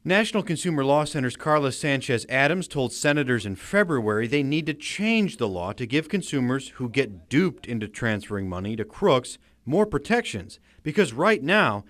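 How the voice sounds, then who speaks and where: A man's voice: mid-range voice, big pitch swings, normal speed, few pauses, a bit bright. A man with an American accent reading scripted news report narration as a reporter.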